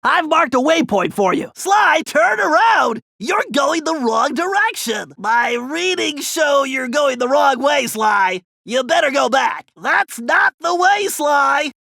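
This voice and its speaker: Nerdy turtle tech whiz for a video game, really high nasal voice, bounces all over, precise and kinda anxious. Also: male voice, expressive, slow, creaky, few pauses, bright, forceful tone, no echo, raised voice, clean studio sound, heavily acted. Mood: focused, analytical, then urgent. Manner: precise, technical, pedantic.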